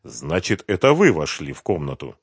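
A man voicing a calm, commanding detective who questions a suspect in an audiobook, level and firm. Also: rumbling low, normal speed, husky, dry recording, clean studio sound, pitched below the performer's own voice, heavily acted. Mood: pressing, accusing. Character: calm, relentless male detective interrogating a suspect. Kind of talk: audiobook detective novel dialogue.

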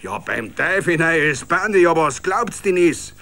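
A male reader plays an enraged Bavarian village man in a literary radio story. The voice jumps high and bellows, thick with dialect and outrage. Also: very animated, unhurried, exaggerated voice. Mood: furious outrage. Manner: shouting in broad dialect. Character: furious village mayor and peasants bellowing in outrage.

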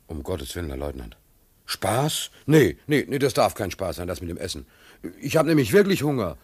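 An unflappable vagabond facing execution in a radio play. His voice sits low, and he talks in folksy, halting turns, polite to authority yet quietly impudent. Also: very animated, husky, full character voice. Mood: hungry, grateful. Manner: folksy, hesitant, deferential but impudent. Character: cheeky, naive-seeming German vagabond prisoner facing execution, unflappable. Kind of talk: German radio play acting.

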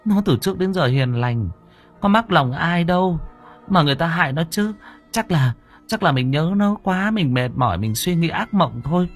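A gentle older wife, as a man puts her on, fretful and soothing, in a voice lifted well above his own, with a husky grain. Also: a little high, lively intonation, moderate pace, faint music behind, voice pushed up.

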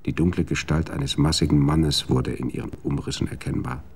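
A man narrating a radio drama in a measured, sober storytelling manner. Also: very deep voice, steady tone, a little mellow, a little hiss or hum.

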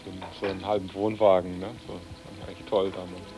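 A man's voice: low voice, little pitch movement, racing, music in the background. A man from southern Germany reminiscing in a casual, conversational way. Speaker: interviewee.

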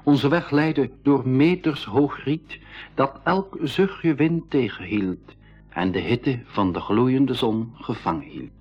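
A man playing an explorer who retells a jungle adventure as dramatic radio storytelling, with a husky voice. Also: mid-range voice, slow. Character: male expedition member retelling a jungle adventure. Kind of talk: dramatic scripted storytelling narration. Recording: dull radio recording, music behind.

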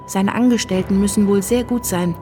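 A woman's voice: mid-range voice, a little fast, background noise, lightly acted. A woman playing an anxious, dutiful young wife, narrating her life confidingly in a radio drama. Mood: confiding, worried.

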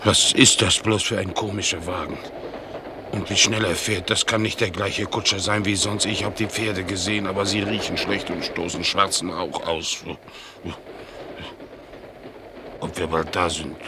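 A man playing a naive, excitable bulldog in a radio play, telling his tale expressively and mimicking the people he quotes. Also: normal speed. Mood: puzzled, restless. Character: excitable, naive male bulldog, chattering about his household. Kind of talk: German radio play acting. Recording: clearly noisy, train rumble behind. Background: vehicle rumble.

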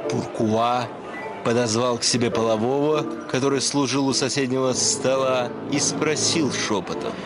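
A man's voice: mid-range voice, normal speed. A man narrating a literary short story for radio in a scripted, storytelling style. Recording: music behind the voice.

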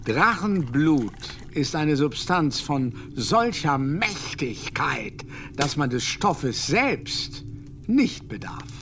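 An actor playing a learned English Jesuit doctor in a radio play, lecturing grandly and groping for German words. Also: male voice, a little high, very animated, extremely slow, fairly bright tone, slight background noise, full character voice. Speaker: Jesuit scholar.

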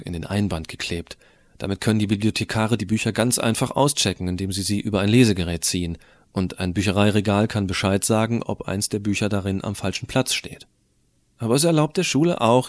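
A man playing a tech-savvy, rebellious high school student who narrates his story in an explanatory, colloquial way. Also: no echo, natural voice. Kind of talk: scripted audio drama narration.

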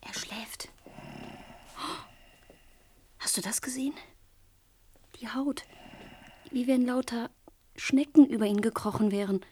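Female actor as young factory-worker daughter, radio play, light background noise, highish voice, brusque, impatient. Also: measured pace, mellow, natural voice. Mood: hushed, fascinated. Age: young adult. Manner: blunt, impatient. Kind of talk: German radio play acting.

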